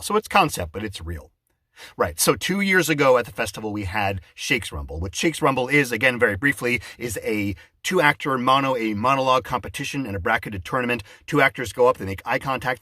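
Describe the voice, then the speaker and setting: A man speaking as a theatre director in a one-sided conversation, explaining his show in a halting, digressive way and often breaking off to answer an unheard listener. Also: medium pitch, bright, forceful tone, dry recording, clean studio sound. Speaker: theatre director, adapter and announcer. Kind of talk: one-sided mock conversation promoting an audio drama event.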